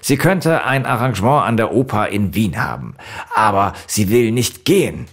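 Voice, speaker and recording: A man's voice: lowish voice, lively intonation, moderate pace, slightly rough, pitched above the performer's own voice. A man playing a blunt, insistent singing teacher in audiobook dialogue. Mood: blunt, accusing.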